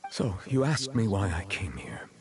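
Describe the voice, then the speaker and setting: A man playing a solemn, reserved chief justice in a video game, speaking in a formal, measured, grave manner. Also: sits low, sing-song, vocal fry, heavily acted. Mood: solemn reflection.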